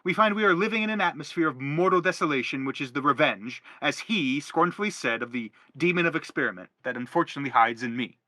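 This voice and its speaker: Guy acting a middle aged dad in a stage drama, highish bright voice, wordy n earnest, always justifying himself. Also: fast talker, hardly any pauses, no echo. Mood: earnest explaining. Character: self-justifying, philosophizing middle-aged father in a troubled family. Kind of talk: theatrical stage-play reading.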